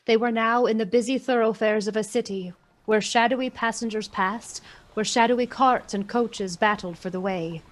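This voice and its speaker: A woman's voice: on the high side, steady tone, normal speed, natural voice. A woman narrating a Victorian Christmas tale in a scripted storybook style for a radio play. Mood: descriptive.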